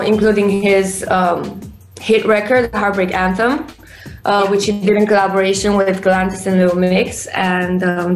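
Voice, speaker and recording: A young woman with a Romanian accent talks casually as an interview guest, filling her answers with "um" and "like" as she restarts her sentences. Her voice carries a roomy echo, with faint music playing beneath it. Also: mid-range voice. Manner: chatty, many fillers and restarts. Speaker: singer-songwriter guest. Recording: echoey room, faint music.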